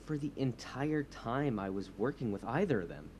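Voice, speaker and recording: A man's voice: medium pitch, lively intonation, moderate pace, darkish tone, slight background noise, close to an everyday voice. A man playing an insecure young superhero leader, hesitant and earnest, in naturalistic audio drama dialogue. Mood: bitter. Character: insecure young male superhero leading a movement.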